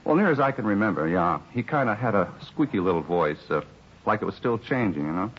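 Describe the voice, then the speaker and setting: A man acting a weary, put-upon bar employee in a 1950s radio drama, rambling along plainly in an American accent with a husky voice. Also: lowish voice, lively intonation, fairly quick, a little hiss or hum, natural voice. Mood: shaken, earnest. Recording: muffled 1950s radio recording.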